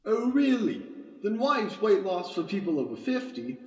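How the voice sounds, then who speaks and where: A man's voice: high voice, sing-song, normal speed, darkish tone. A man playing an irritable, sarcastic, fussy bookstore boss in an amateur radio drama, in a reverberant room. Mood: sarcastic, fed up. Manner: sarcastic, scolding. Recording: reverberant, slightly dull room sound.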